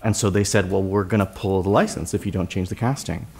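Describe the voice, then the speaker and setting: A man's voice: sits low, quick pace, vocal fry, darkish tone, husky. A man talking analytically as a playwright in a live discussion, choosing his words in an intellectual way. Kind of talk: analytical talk on stage. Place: live theatre in front of an audience.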